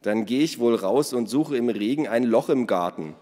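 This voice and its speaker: A man's voice: mid-range voice, normal speed, warm tone, lightly acted. Man acting a grudging, sarcastic boy in a hall. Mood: grumbling. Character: grumbling, sceptical, teasing boy, the tracker's son. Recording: reverberant hall.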